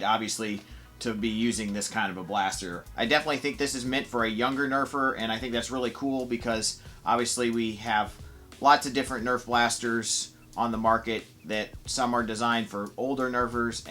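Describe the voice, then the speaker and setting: A man's voice: mid-range voice, pushed and bright. Casual man reviewing toys, music behind. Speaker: toy blaster reviewer. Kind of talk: casual product review to camera.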